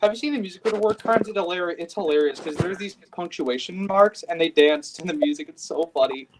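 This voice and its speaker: A man's voice: high-pitched, fast talker, background noise. An eighteen-year-old man chatting casually on a stream, eager and often restarting his phrases. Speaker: guest. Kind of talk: casual chat.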